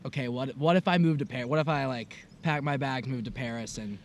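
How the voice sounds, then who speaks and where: A young man from the United States co-hosting a podcast, telling stories in a casual, animated way full of "like" and "I was like.". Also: medium pitch, fairly quick, slight background noise. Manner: animated storytelling, lots of "like" and "I was like". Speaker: podcast co-host. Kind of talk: casual conversation. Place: outdoors in the mountains.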